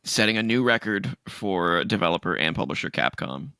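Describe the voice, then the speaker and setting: A man co-hosting a casual gaming podcast, laughing often and reading out news items in a relaxed drawl. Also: low-pitched, normal speed, smooth, no echo, studio quality. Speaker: podcast co-host.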